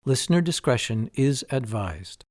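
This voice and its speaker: A man reads a scripted advisory in an announcer's role. His voice sits at an average male pitch. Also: very animated, moderate pace.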